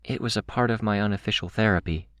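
A man's voice: mid-range voice, moderate pace, clear, resonant tone, dry recording, pitched above the performer's own voice, lightly acted. A man voicing a candid, playful young girl with a wry, theatrical streak in an audiobook. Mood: quiet, confiding. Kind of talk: audiobook character voice.

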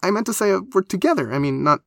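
A man voicing an excitable, nervous young female creature in an audiobook, gushing, flustered and breathless as the words tumble out. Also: mid-range voice, brisk, dry recording, studio quality, voice pushed up, natural voice. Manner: gushing, flustered, stammering, emphatic. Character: excitable, nervous teenage girl creature with barbed-wire hair.

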